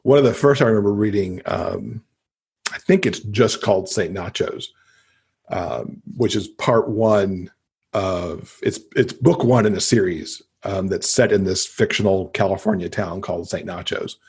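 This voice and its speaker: A man's voice: lowish voice, a little slow, darkish tone, breathy edge. A man, middle-aged, talking casually and enthusiastically as a guest in an interview about books. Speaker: podcast guest, avid reader.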